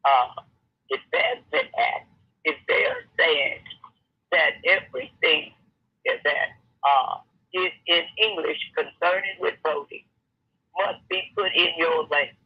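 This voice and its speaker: A woman's voice: sits low, a little slow, pauses often, slightly rough, muffled recording. An older woman speaking as an elder guest on a call-in talk show, earnest and lecturing, often stopping and restarting mid-sentence.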